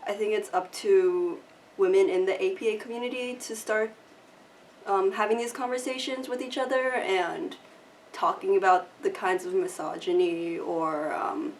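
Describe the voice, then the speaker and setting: A woman's voice: medium pitch, fairly even intonation, moderate pace. College age woman talking casually, says like a lot, little distant, faint noise. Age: young adult. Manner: conversational, frequent "like". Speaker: student interviewee. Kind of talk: casual interview.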